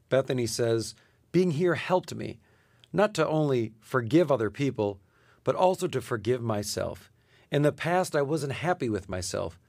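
A man's voice: medium pitch, no echo, clean studio sound. A man speaking as a charity president, giving scripted answers as a radio guest.

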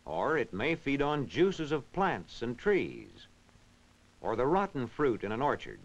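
A man reading scripted educational narration in a measured, instructional, clearly enunciated way. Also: medium pitch, huge pitch swings. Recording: dull, band-limited vintage film soundtrack.

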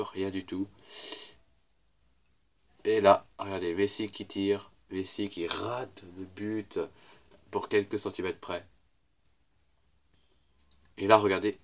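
A young man with a head cold talks over football clips in a deep, congested voice. He rambles casually, repeating himself as he points out each play. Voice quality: congested, little voice. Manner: rambling, repeats "regardez" and "voilà". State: has a cold, weak voice. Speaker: YouTuber commenting match highlights. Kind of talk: casual football highlight commentary.

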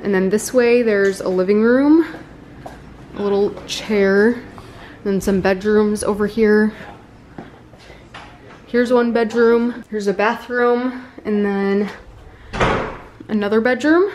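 A young woman chatting to her handheld camera in a casual travel vlog, bubbly and a little hoarse from yelling. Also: mid-range voice, slow pace, pauses often, a little hiss or hum. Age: young adult. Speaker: family vlogger. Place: car, plane and rental house. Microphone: handheld camera at arm's length.

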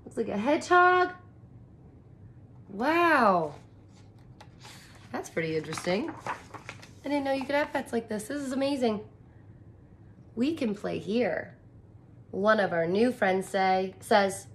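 A woman in her thirties reads a picture book aloud to young children and comments on it in simple, expressive, wonder-filled phrases. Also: on the high side, takes it slowly, long pauses. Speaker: teacher reading aloud to children. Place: small indoor room, curtained corner.

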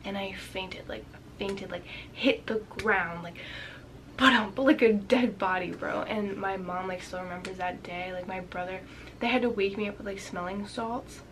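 A young woman tells a story to her webcam from a small bedroom. She sounds slightly raspy and talks in a loose, rambling way. She keeps saying "like" and often slips into a whisper. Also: medium pitch, fairly even intonation, moderate pace, fairly bright tone, slight background noise. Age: young adult. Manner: chatty, many "like" fillers, drops into whispers. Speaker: vlogger. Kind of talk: casual storytelling to camera.

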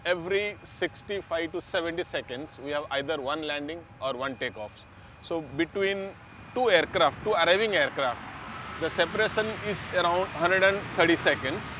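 A man's voice: on the high side, moderate pace, background noise. A middle-aged man with an Indian accent, explaining operations as an interviewee outdoors. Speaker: head of airport operations, interviewee. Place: outdoors on an airfield. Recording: music bed, outdoor vehicle sounds.

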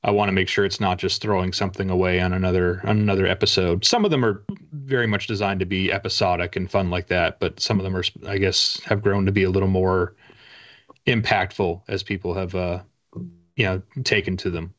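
A man in his forties speaks in a fairly low voice, slightly muffled by a lo-fi video call. He chats informally as a guest, hesitating and restarting with wry modesty. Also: fairly even intonation, fairly quick, fairly bright tone. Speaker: guest, author. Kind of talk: casual interview chat. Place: indoor home room with bookshelves. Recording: dull, lo-fi video call audio.

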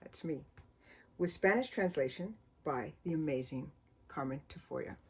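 A middle-aged woman from the United States, a children's author, chatting and reading a storybook aloud in her book-filled home studio with a cheerful, expressive storytime manner. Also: low-pitched, a little slow. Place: home studio full of books, indoors.

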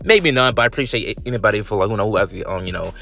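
A man with a lowish voice rambles through a gaming voice-over, sounding drowsy and loose, stacking up fillers and slang. Soft music plays faintly underneath. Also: lively intonation, rapid-fire, gravelly. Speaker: gaming channel host. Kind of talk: casual rambling gameplay voice-over.

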